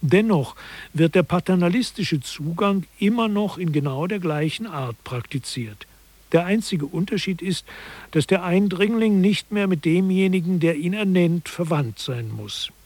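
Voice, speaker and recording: A man's voice: high voice, animated, a little slow, a little mellow, dry recording, heavily acted. A man playing an articulate lecturer who explains mock-scholarly theory in a scripted satirical radio play. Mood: explanatory. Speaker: narrator.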